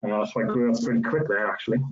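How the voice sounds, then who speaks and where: A man in his late thirties with a South Welsh accent, talking easily about his working life and filling his pauses with ums. His delivery stays fairly level in tone. The sound is dull and lo-fi, as on a video call. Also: fairly low pitch. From South Wales. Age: 30s. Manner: relaxed, conversational, frequent ums. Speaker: railway professional giving a careers talk. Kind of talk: casual career talk.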